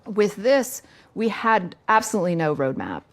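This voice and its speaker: A woman reporter speaking conversationally in an interview, stressing her points with lively emphasis. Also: mid-range voice, normal speed. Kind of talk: documentary interview.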